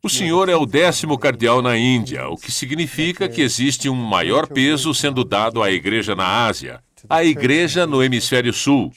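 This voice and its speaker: A man's voice: mid-range voice, animated, normal speed, hardly any pauses, fairly bright tone. A man voicing an interviewer in a dubbed interview, asking his questions politely and with curiosity. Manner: polite, inquisitive. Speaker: interviewer voice-over.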